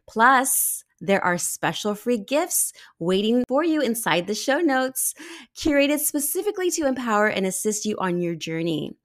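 A woman in her forties hosting a podcast, chatting casually, bubbly and quick to laugh. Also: a little high. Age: 40s. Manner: bubbly, laughs often, lively exclamations. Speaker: podcast host. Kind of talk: casual interview chat.

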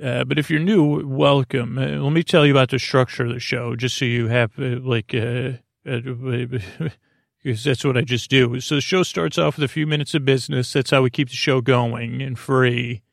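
A man with a creaky voice hosting a sleep podcast, talking in a soothing, meandering monologue that halts and drifts into tangents. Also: mid-range voice, steady tone, no room sound, studio quality. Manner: meandering, halting, trailing into tangents; soothing, gently lulling. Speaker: podcast host.

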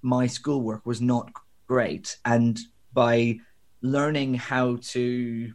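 A young man with a faint Northern Irish accent, speaking as an interview guest. He talks in a reflective, meandering way, with frequent ums and restarts. His voice sits at an ordinary middle pitch. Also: level tone, extremely slow. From Northern Ireland. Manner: reflective, rambling with fillers and restarts. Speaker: guest, theatre director. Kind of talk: conversational interview.

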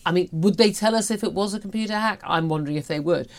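A woman's voice: lowish voice, fast. A British woman in her fifties talking through the news as a talk show host, chatty, emphatic and opinionated. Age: 50s.

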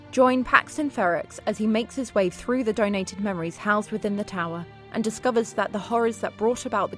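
A woman's voice: medium pitch, fairly bright tone. A woman presenting a podcast promo in scripted narration, speaking as an editor.

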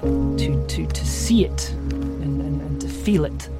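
A performer playing a weary, haunted submariner in a halting, reflective audio drama monologue. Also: medium pitch. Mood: awed, halting. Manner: halting, reflective, deliberate pauses. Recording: music under the voice. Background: music.

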